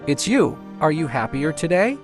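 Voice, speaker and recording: A man playing a gentle, teasing young coffee shop owner in a romance comic dub. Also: a little high, very animated, normal speed, over music.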